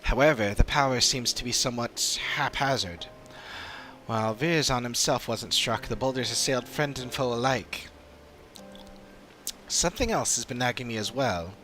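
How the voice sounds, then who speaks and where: A man plays an earnest fantasy adventurer from a video game, speaking in formal, measured sentences. The mid-pitched voice is serious and sits over clear background music. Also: sharp tone, close to an everyday voice. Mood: serious, thoughtful. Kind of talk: read-aloud video game dialogue.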